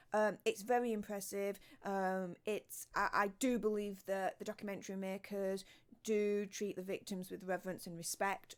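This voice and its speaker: A young woman with a Yorkshire accent hosting a casual commentary vlog, chatting with lots of fillers and restarts and reading out article passages. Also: medium pitch. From Yorkshire, northern England. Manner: chatty, with many fillers and restarts, reads article excerpts aloud. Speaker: YouTube host.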